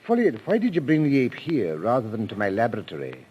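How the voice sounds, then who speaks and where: An actor playing a stern laboratory doctor in an old-time radio thriller, speaking formally and probingly. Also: male voice, medium pitch, wildly swooping pitch, quick pace, highs cut off, slight background noise. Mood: suspicious, stern.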